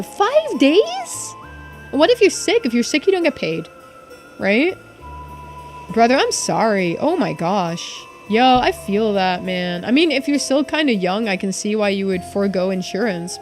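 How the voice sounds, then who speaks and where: A woman game streamer chatting casually to her live audience, sweary, incredulous and sympathetic. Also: mid-range voice.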